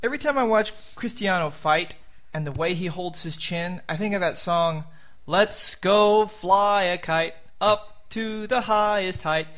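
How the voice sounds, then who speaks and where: A man with a notably high-pitched voice chats casually as a podcast co-host. He jokes and teases his partner and laughs at his own gags. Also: a little slow, a bit bright. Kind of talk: casual sports chat.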